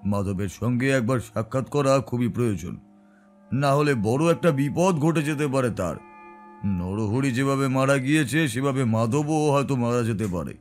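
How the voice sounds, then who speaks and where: A man's voice: medium pitch, close to an everyday voice. A man playing a grave, composed tantric who recounts an eerie tale in an audio story. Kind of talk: dramatic first-person audio storytelling.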